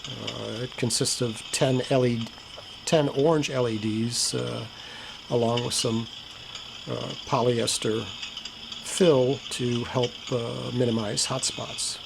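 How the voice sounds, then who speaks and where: Clear background noise surrounds a man with a creaky, mid-pitched voice. He narrates a hobby demo casually and plainly, sounding like a home camera recording. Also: level tone, takes it slowly. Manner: plain-spoken, matter-of-fact. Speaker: hobbyist demonstrator. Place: indoor hobby workbench.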